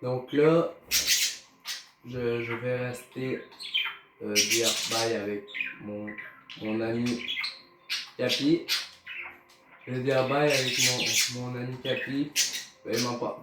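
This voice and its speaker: A man's voice: mid-range voice, fairly even intonation, slow, long pauses, heavy background noise. A Canadian man with a Québécois accent, commenting casually on a game he is playing. He talks hesitantly, stammering and using many fillers. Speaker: gaming YouTuber. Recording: roomy echo, distant mic, music behind.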